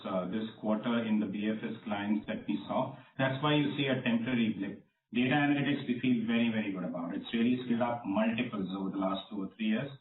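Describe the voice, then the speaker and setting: Echoey, distant man on a conference line. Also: medium pitch. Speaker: company executive answering analysts. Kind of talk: corporate earnings call remarks and answers. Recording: roomy, distant, tinny phone-band conference line.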